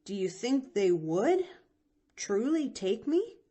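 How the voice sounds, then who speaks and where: Woman reading as a plainspoken young boy. Folksy, mellow, unhurried. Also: a little high, big pitch swings, pitched above the performer's own voice, exaggerated voice. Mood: earnest. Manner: rough, folksy dialect. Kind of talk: audiobook reading.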